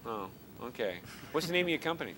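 A man's voice: medium pitch, sing-song, quick pace, background noise. A man with a Boston accent hosting a live call-in TV show, teasing and joking with guests and callers. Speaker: TV talk show host. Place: TV studio with audience.

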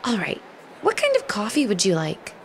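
A woman's voice: mid-range voice, wildly swooping pitch, normal speed, slight background noise. A woman voicing a pushy, bubbly young woman who is bossy and upbeat.

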